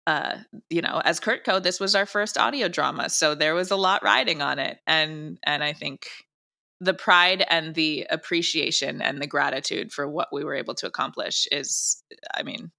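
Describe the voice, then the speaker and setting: A woman's voice: deep voice, normal speed, gravelly, sharp tone. A woman chatting informally in an interview as a creator, speaking in an emphatic, heartfelt way. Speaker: audio drama creator and director being interviewed. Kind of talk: casual interview chat.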